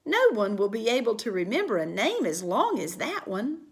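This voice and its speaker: A woman's voice: a little high, very animated, normal speed. A woman reading a storybook aloud, voicing a mild, dry-spoken grown-up dad.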